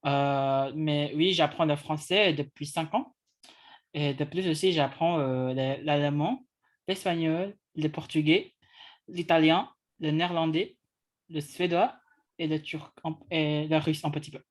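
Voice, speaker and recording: A man's voice: a little high, fairly even intonation, unhurried. Hesitant man, non-native French speaker. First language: English and Indonesian. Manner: hesitant, restarting phrases. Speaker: co-host. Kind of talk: casual discussion.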